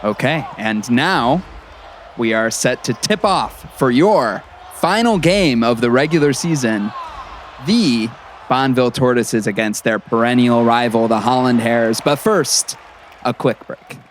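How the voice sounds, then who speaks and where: A man's voice: mid-range voice, expressive, unhurried, natural voice. A man playing an earnest small-town radio play-by-play announcer in a comedy sports broadcast. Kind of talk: sports commentary in audio comedy. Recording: crowd and gym noise behind. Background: crowd, bouncing basketball.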